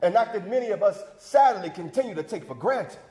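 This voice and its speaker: Stage monologue: male actor playing an older male civic leader, very high-pitched voice, preacherly and emphatic, big reverberant hall sound. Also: expressive, fairly quick, warm tone. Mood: solemn, urgent. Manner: preacherly, emphatic, folksy, rousing cadence. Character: John Wesley Dobbs, fervent older civic leader rallying a church crowd. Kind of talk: theatrical monologue, oratorical speech. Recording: echoey hall with long reverb.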